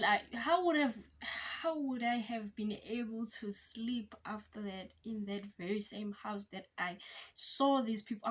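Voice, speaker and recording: A woman hosting a reaction video with casual, slangy commentary, repeating phrases for emphasis. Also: medium pitch.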